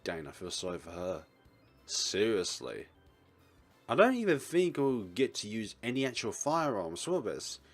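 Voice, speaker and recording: Low-voiced, lively male let's player. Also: unhurried, soft background music. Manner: playful, exclaims and laughs, acts out the narrator's lines. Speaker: let's player reading a visual novel aloud. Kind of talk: gaming commentary, reading game text and joking asides.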